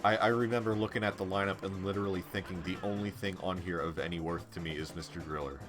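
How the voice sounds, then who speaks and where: A man streaming a game, chatting casually with a stuffy, congested nasal voice and a rambling, relaxed way of talking. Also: sits low, fast, over music. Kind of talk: casual chat while playing a game on a live stream.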